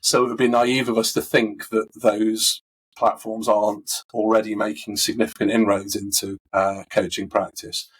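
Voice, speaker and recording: Husky-voiced man talking through coaching. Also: fairly low pitch, level tone, moderate pace, rough recording. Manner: thoughtful, many fillers and restarts. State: husky from a recovering voice. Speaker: coaching expert guest. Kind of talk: conversational interview answers.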